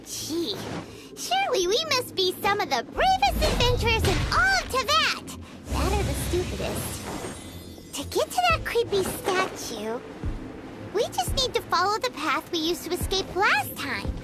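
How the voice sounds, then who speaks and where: A woman voicing a tiny, childlike, excitable fairy companion in a fantasy game, squeaky and cutely chatty. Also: a little slow, exaggerated voice. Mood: wry, chatty. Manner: cute, chatty, exclamatory. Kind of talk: fantasy video game voice acting. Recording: loud music behind the voice.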